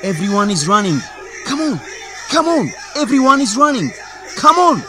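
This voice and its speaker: A man's voice: extremely high pitch, very animated, moderate pace, over music. A man playing a driven, inquisitive reporter in a radio drama. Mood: panicked, urgent. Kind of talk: English-teaching radio drama dialogue.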